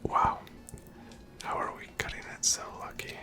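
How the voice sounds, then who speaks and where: A person whispering softly and breathily, giving gentle, casual ASMR commentary while playing a video game. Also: unhurried. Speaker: host of a gameplay series.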